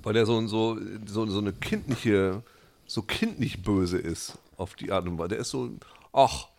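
A man co-hosting a laid-back podcast, his voice sitting a little low. He rambles, circling back with fillers and restarts, and laughs easily along the way. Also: measured pace, a little mellow. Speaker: podcast co-host. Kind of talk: casual chat.

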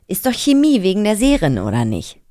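A woman's voice: medium pitch, huge pitch swings, a little fast, mellow, no echo, studio quality. A woman co-hosting a casual chat and quiz, playful and teasing in how she talks. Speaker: podcast co-host, quizmaster.

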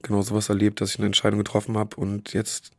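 Hoarse, gravelly man with a cold. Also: fairly low pitch, completely flat delivery, darkish tone, dry recording, clean studio sound. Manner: halting, searching for words with many pauses. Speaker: podcast co-host. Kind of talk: casual chat.

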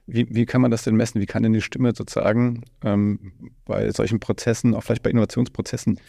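Low, gravelly, mellow male podcast host. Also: little pitch movement, fast, dry recording, clean studio sound. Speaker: podcast host interviewing a guest. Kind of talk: casual conversational podcast interview.

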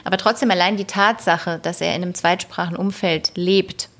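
A woman linguist answering interview questions in a thoughtful, hedging way, with soft laughs between answers. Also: lowish voice, steady tone, moderate pace. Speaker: linguist guest. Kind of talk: conversational interview.

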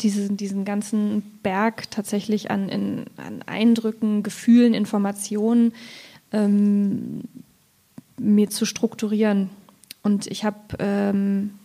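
Mellow, even-toned woman, reflective poet. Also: mid-range voice, slow. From Thuringia, Germany. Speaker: poet discussing her book. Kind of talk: reflective literary panel talk.

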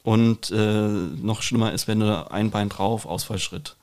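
A man's voice: lowish voice, fairly even intonation, measured pace, darkish tone. A man hosting a podcast, chatting casually and often restarting his phrases. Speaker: podcast host. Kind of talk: casual chat.

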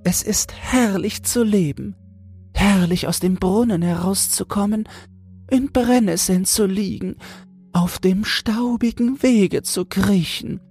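A man plays a tiny female toad in a storybook tale, pitched very high above a natural male voice. The toad is naive and earnest, full of wishful wonder, with lilting exclamations and a husky edge, over faint music. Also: slow pace, darkish tone, heavily acted. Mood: eager wonder. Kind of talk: fairy tale audiobook character voice.

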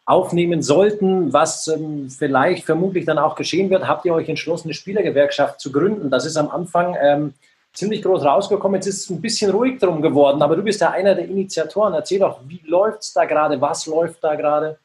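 A man's voice: a little high, a little fast, darkish tone. A man co-hosting a podcast, asking questions in a casual interviewing style. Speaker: podcast co-host.

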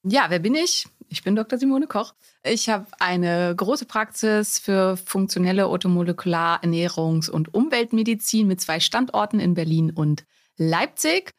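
A woman's voice: mid-range voice, lively intonation, normal speed. Chatty woman doctor from Schleswig-Holstein. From Neumünster, Schleswig-Holstein. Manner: chatty, self-assured, wry. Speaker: physician and podcast guest. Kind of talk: casual interview answers.

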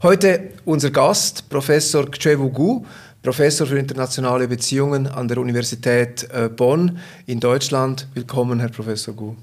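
A man's voice: medium pitch, steady tone, moderate pace, a little mellow. Formal man hosting a finance podcast. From Switzerland. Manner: polite, formal, occasional fillers. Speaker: podcast host, bank investment officer.

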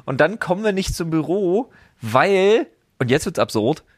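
A man's voice: fairly high pitch, very animated, normal speed, no echo. A man from East Germany co-hosting a podcast, chatting casually with dry, self-mocking jokes. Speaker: podcast co-host. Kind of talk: casual banter. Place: office studio in Berlin.

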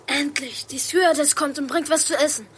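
Chubby hungry boy, high voice, whiny, children's audio drama, noisy ambience. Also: steady tone, brisk, a bit bright. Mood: impatient, hungry.

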